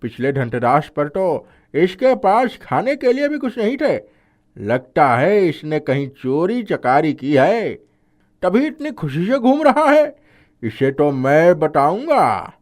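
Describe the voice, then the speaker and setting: High-pitched, gloating, envious villain. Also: male voice, very animated. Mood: envious, scheming. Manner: drawn-out gloating, sneering. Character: gloating envious male neighbour, scheming thief. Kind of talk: animated moral story acting.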